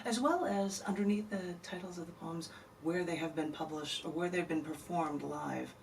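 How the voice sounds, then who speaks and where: A woman's voice: low-pitched, normal speed, creaky, darkish tone. A woman poet being interviewed on a radio show, talking in a chatty, rambling way and laughing often. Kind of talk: casual radio interview chat. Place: radio studio. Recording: distant mic, faint noise.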